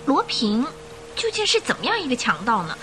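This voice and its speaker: An actress playing a sweet, curious, innocent young lady with an expressive girlish manner in a Chinese radio drama. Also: high voice, a little fast, exaggerated voice. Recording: radio drama with faint music behind.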